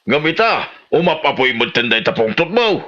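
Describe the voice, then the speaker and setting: A man's voice: on the high side, sing-song, moderate pace, bright, forceful tone, lo-fi sound. An actor playing an exasperated Filipino family man, questioning and reproving, in a comedy drama. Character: exasperated male relative reproving a grumpy older woman. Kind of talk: Ilocano comedy drama acting.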